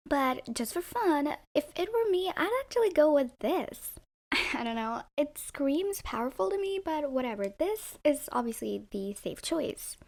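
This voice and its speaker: A hoarse woman giving sarcastic, opinionated voice-over commentary as a YouTuber reviewing music video thumbnails. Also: high voice, a little mellow. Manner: sarcastic, opinionated, playful jabs.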